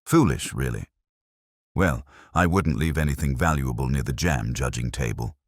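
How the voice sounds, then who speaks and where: A man's voice: a bass voice, moderate pace, husky, no echo, studio quality. A man voicing gossiping, disapproving village busybodies in an audio mystery, catty and speculative. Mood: gossipy.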